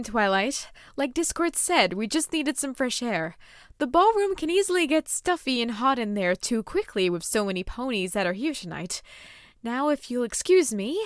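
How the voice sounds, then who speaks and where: A woman plays a royal pony mare in a cartoon audio drama. Her voice is on the high side and lively in pitch, composed with a wistful edge. The sound is very dry. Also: dry recording. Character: Princess Celestia, regal adult pony mare, composed, wistful. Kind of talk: animated-series fan audio drama.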